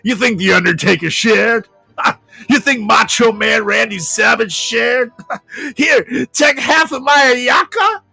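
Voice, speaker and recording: A man playing a pompous, gluttonous snack-eating champion in a shouted wrestling-promo style, in a comic story. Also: extremely high pitch, slow pace, vocal fry, husky, soft background music, pitched above the performer's own voice, exaggerated voice. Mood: indignant.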